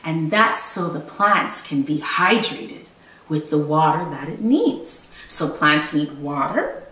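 A woman in her thirties reading a storybook aloud to young children as a teacher, with expressive emphasis and explanations. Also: low-pitched, takes it slowly, far from the mic. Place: small living room at home, indoors. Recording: roomy echo, away from the mic.

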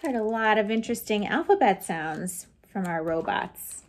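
An American woman in her forties hosting a read-aloud storytime for young children, in an animated, sing-song way with playful sound effects. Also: medium pitch, moderate pace. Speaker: children's librarian, storytime host. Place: small lamp-lit room at home.

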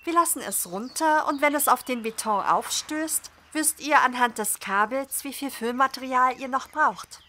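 A woman's voice: high voice, moderate pace, few pauses, sharp tone, slight background noise. A woman playing a friendly podcast guest in a German audio drama, chatty and explanatory. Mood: matter-of-fact.

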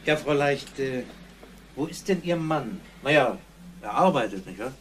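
An actor playing a harried, gruff police inspector in a radio crime drama, impatient and authoritative. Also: male voice, medium pitch, animated, unhurried, slight background noise, close to an everyday voice. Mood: businesslike.

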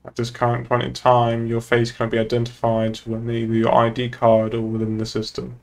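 A man's voice: mid-range voice, monotone, a little fast, gravelly. A young man in casual, blunt game-stream chat, playing a police officer. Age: young adult.

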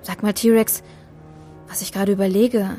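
Sardonic, sharp-tongued woman sleuth, acted by a woman. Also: medium pitch, little pitch movement, normal speed, soft background music, close to an everyday voice. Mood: pensive. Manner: sardonic, probing. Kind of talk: German audio drama dialogue.

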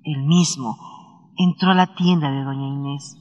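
A man's voice: on the high side, steady tone, normal speed, a little mellow, natural voice. A man acting as a villager who recounts a dead town's tragic past in a radio drama. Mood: somber storytelling. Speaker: storyteller in an audio drama. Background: music.

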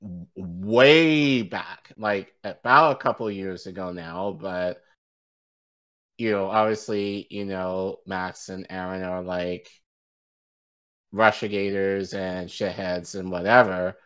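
An American man in his forties giving casual political commentary as a co-host, halting, colloquial and profane. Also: low voice, fairly even intonation, fairly bright tone, clear, resonant tone. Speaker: news show co-host. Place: indoor room at home, video stream.

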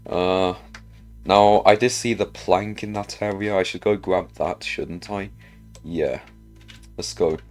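A man with a low voice and an unhurried pace hosts a gaming video. He comments casually on his play, muttering questions to himself as he goes. Music plays behind him. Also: steady tone, a little mellow.

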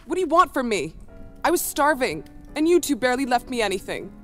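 A woman's voice: high-pitched, sing-song, brisk, pushed and bright, full character voice. A woman voicing a petulant, defensive vampire guest in a video game, snappish and indignant. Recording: music behind the voice.

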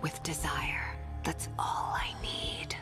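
An actress voicing a seductive, sadistic female demon in a video game, sultry and breathy with whispering. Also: takes it slowly, music in the background, lightly acted. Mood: seductive, cruel. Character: seductive, sadistic female demon who relishes others' torment. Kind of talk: video game character voice lines.

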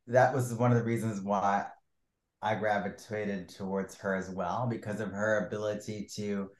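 A man from Virginia, a musician guest speaking in an earnest, open way as he builds on his partner's points. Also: fairly low pitch. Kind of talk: casual interview. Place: indoor room with patterned wall. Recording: roomy echo.

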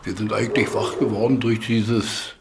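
A man's voice: on the low side, little pitch movement, normal speed. An older man around seventy giving a spontaneous, colloquial interview recollection. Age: older, about 70. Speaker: interviewee, former village mayor. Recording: faint noise and clicks.

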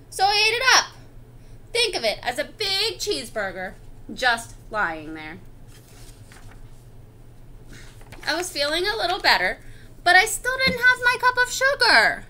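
Woman as a high, theatrical, wronged wolf storyteller. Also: bright, forceful tone, full character voice. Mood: chatty, self-justifying. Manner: theatrical, chatty, confiding storytelling. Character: indignant, wronged wolf telling his side, self-justifying. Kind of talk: expressive picture-book read-aloud.

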